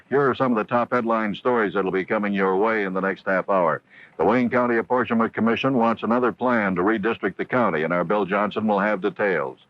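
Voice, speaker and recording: Low-voiced American male radio newsreader. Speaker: radio news anchor. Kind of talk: news and weather bulletin. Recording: dull AM radio broadcast, dry studio.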